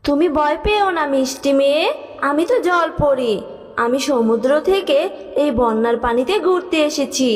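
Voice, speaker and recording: A woman voicing a kind, generous water fairy in a children's cartoon, gentle and reassuring. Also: sits high, lively intonation, normal speed, big-room sound. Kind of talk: Bengali children's cartoon acting.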